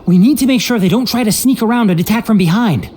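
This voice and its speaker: A man's voice: high voice, fast talker, background noise, close to an everyday voice. An actor playing a protective young male guardian in a video game, resolute and firm. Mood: urgent, commanding. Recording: game music behind the voice.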